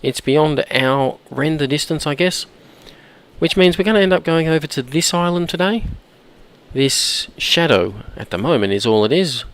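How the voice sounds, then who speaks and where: A man with a hoarse, croaky voice from a cold, chatting casually while he plays and often clearing his throat. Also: on the high side, animated, normal speed, a bit bright. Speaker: gameplay commentator. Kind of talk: casual let's-play commentary.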